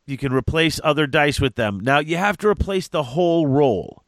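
A man with a stuffed-up, congested voice co-hosts a casual tabletop gaming podcast. His voice sits a little high, and the dry, close mic catches every word. He reads rules aloud in eager bursts and keeps the chat upbeat. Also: lively intonation, normal speed, a bit bright, no room sound. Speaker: podcast co-host.

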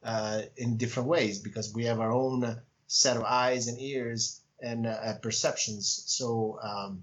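A man with an Italian accent, speaking as an author being interviewed on a podcast, talking in a reflective, measured way with frequent pauses. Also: mid-range voice, steady tone, dull audio. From Italy. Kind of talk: conversational interview.

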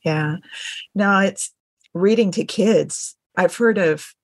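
A woman's voice: mid-range voice, slow pace, no room sound. A middle-aged woman hosting a casual interview, responding as an affirming, appreciative listener. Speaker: interviewer, host.

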